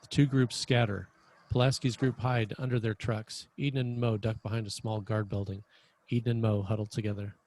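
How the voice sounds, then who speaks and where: A man's voice: on the low side, little pitch movement, fairly quick, darkish tone. A man narrating scripted screenplay stage directions at a table read in a matter-of-fact way.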